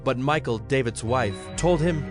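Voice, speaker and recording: A man's voice: mid-range voice, moderate pace. A man narrating scripture from a script as the narrator of a dramatized audio production. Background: music under the voice.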